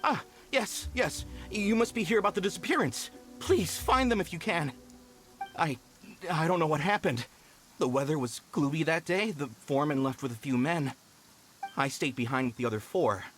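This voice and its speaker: A man's voice: a little high, expressive, moderate pace, a bit bright, faint music behind. A man voicing a frightened miner in a video game, nervous and pleading. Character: frightened working-class male miner.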